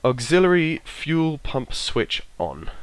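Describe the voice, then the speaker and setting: A man with a bit of a cold, his voice croaky, casually talking himself through an aircraft checklist and trailing off as he goes. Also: on the high side, sing-song, slow, dry recording. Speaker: flight sim streamer. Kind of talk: casual narrated walkthrough.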